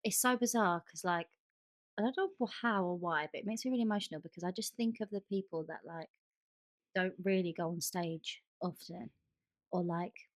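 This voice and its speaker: A woman's voice: on the low side, normal speed, dry recording, studio quality. A woman co-hosting a podcast in casual chat, gushing and emphatic, often repeating herself for stress. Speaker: podcast co-host.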